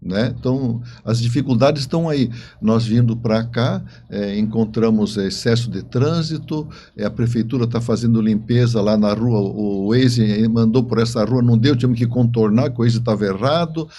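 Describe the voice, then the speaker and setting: A man, telling stories in an interview in a halting, emphatic way with repeated words. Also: medium pitch, dark tone, a little hiss or hum. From southern Brazil. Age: 70s. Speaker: interview guest, writer and engineer. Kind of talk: conversational interview storytelling.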